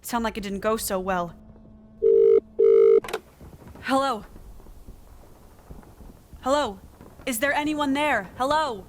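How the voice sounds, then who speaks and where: A woman's voice: on the high side, slow, long pauses, background noise. A woman playing a polite, anxious radio call-in host in a catastrophe drama, composed but hesitant and earnest. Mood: alarmed, cautioning.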